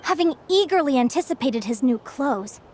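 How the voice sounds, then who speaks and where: High-voiced, gentle young woman storyteller. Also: animated, slight background noise. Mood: storytelling, composed. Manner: measured, articulate, enthusiastic about lore. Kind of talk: storytelling in an English game dub.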